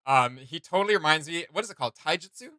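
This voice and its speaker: A man's voice: fairly high pitch, animated, fast talker, pushed and bright, dry recording. A man hosting a reaction video, chatting casually in an enthusiastic, rambling fan's manner. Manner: enthusiastic, rambling fan talk. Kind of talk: casual chat.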